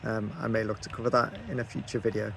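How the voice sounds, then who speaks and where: A man's voice: on the low side, level tone, fast, creaky, a bit bright, slight background noise. A man giving casual, somewhat hesitant explanatory narration as a hobby video host.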